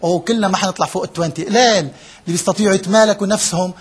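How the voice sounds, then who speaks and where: Male workshop trainer, higher voice, slightly husky, talks fast, keeps checking the room understands. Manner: explains step by step, checks in with listeners often; drops English terms into his speech. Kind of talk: interactive lecture to a live group.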